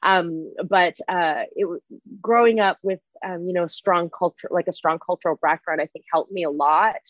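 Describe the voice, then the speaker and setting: A woman's voice: on the low side, moderate pace, dry recording, lo-fi sound. A young woman from Canada whose first language is Mandarin, chatting as an interview guest with many fillers and restarts. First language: Mandarin Chinese. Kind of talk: casual interview conversation. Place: Airbnb room.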